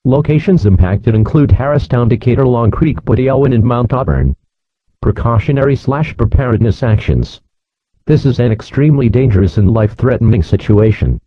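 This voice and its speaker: A man's voice: medium pitch, no room sound. A man reading a formal scripted severe weather warning as an emergency announcer. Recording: dull lo-fi broadcast alert sound.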